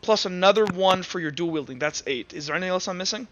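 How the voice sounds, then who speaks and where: A man's voice: high-pitched, fast talker, a bit bright, highs cut off, slight background noise. A man casually refereeing a tabletop game, explaining rules and giving players instructions. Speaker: game master.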